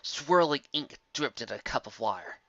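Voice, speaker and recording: A man's voice: medium pitch, expressive, moderate pace, creaky, bright, forceful tone, dry recording, muffled recording. A man narrating a fantasy story in a dramatic, scripted audiobook style.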